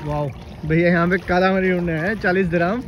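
High-voiced excited man filming on phone. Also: fast, muffled recording. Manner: excited, chatty, nervous around crabs. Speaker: vlogger. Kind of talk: casual vlog commentary. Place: night shoreline, wading in shallow water.

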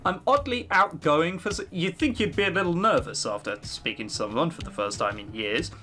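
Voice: mid-range voice, animated, fairly quick, sharp tone, faint music behind. A game commentator narrating a visual novel playthrough and commenting on it. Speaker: let's player reading a visual novel aloud.

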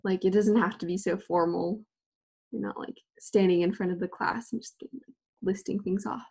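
A woman on a video call explains the plan for a group task. She sounds relaxed and loose, leaning on fillers, her voice neither high nor low. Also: normal speed, vocal fry, husky. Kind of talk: classroom instructions.

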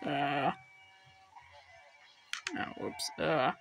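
A vlogger giving casual, rambling unboxing commentary in an offhand, halting way. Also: mid-range voice, fairly even intonation, gravelly, fairly bright tone, soft background music. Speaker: video host showing flea market finds.

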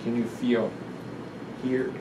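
A man in his seventies reading a children's book aloud in a gentle, deliberate, instructive way, guiding breathing exercises with pauses between phrases. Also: mid-range voice, little pitch movement. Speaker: guest doctor reading a picture book aloud. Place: indoor room in front of a sequined curtain. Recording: roomy, distant pickup with noticeable ventilation hum.

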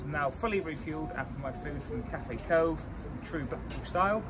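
A man's voice: on the high side, normal speed, very noisy. A man in his thirties narrating a running vlog outdoors on a seaside promenade, chatting like a tour guide into an action camera. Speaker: running vlogger. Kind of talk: casual travel vlog narration. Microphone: action camera held or mounted while running. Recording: loud background music.

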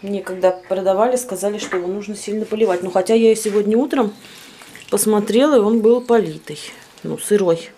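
A woman in her forties, a little hoarse, chatting casually to her phone camera at home on her balcony. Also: medium pitch, brisk, warm tone, a little hiss or hum. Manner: chatty, cooing to her pet. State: slightly hoarse. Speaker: vlogger. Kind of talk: casual vlog chat to camera. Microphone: handheld phone camera.

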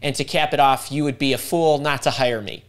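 A middle-aged man hosting a live career workshop over a video call, talking fast and interrupting himself as he thinks aloud. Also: medium pitch, fairly even intonation, clean tone. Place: home room indoors. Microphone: video call webcam.

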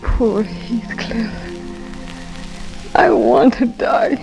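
A tender, wilful young wife on her deathbed in an old radio melodrama. Her delivery is very slow, faint and breathy, sinking toward a whisper. Music plays clearly behind the voice. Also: fairly high pitch, expressive, low-quality recording. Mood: yearning, dying. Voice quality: breathy, often near whisper. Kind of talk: 1940s radio drama acting.

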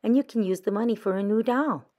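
A woman's voice: mid-range voice, fast talker, mellow, pitched above the performer's own voice, close to an everyday voice. Woman reading as earnest, trusting young girl badger. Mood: eager. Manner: earnest, plain-spoken. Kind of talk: children's picture book read-aloud.

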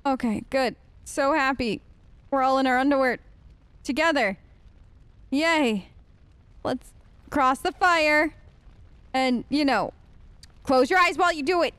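A woman's voice: sits high, a little slow, pauses often, a bit bright, no room sound. A woman doing casual, chatty role-play game commentary with a playful, wry manner. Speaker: gamer, let's player.

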